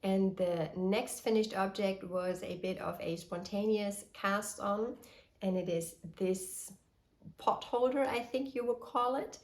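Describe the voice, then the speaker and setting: A woman in her thirties hosting a knitting podcast, talking in a cheerful, detailed way and pausing now and then while still getting over a cold. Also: medium pitch. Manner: cheerful, detailed, slightly hesitant. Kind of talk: casual show-and-tell. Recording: echoey room.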